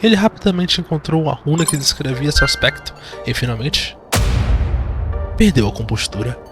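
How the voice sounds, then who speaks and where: A man's voice: fairly high pitch, lively intonation, normal speed. A man with a Brazilian accent giving dramatic scripted narration of a fantasy audio drama. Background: background music.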